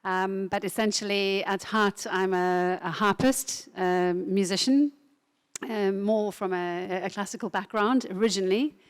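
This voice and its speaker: A woman, founder of a music venue and a harpist, introducing herself on a panel in a measured way. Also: mid-range voice, steady tone, clear, resonant tone.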